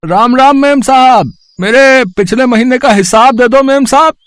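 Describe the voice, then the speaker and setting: A man acts out a cheating village-style milkman for a story. His pitch is extremely high and the recording dry, his manner folksy and falsely deferential. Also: measured pace, a bit bright, no echo. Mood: servile.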